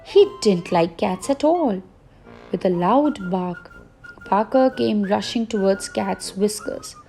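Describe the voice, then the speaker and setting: Slow, gentle woman reading a bedtime story. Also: mid-range voice, wildly swooping pitch, vocal fry, soft background music.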